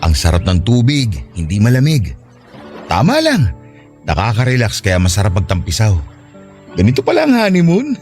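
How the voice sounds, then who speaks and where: A man's voice: on the low side, huge pitch swings, moderate pace, darkish tone, soft background music. An actor with a Filipino accent playing a devoted but reluctant husband, earnest as he talks with his wife in a radio drama. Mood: relaxed, content. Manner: protesting, earnest.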